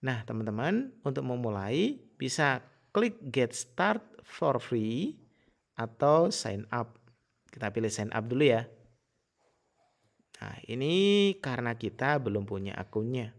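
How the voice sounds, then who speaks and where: Man with lively, varied pitch. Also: slow pace, gravelly, long pauses. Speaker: tutorial presenter. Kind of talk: casual step-by-step screen tutorial.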